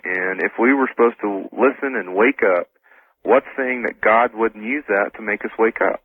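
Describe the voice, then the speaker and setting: A male radio guest with a slightly low, husky voice explains things in an earnest, casual, somewhat hesitant way. He comes through a thin, tinny telephone line. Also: moderate pace, no echo. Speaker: interview guest on talk radio. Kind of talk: casual explanatory interview talk.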